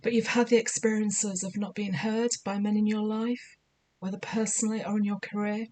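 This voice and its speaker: A woman with a slightly croaky voice giving spiritual guidance in a measured, soothing, deliberate way. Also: mid-range voice, flat delivery, darkish tone, a little hiss or hum. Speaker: spiritual guide. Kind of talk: scripted spiritual guidance talk.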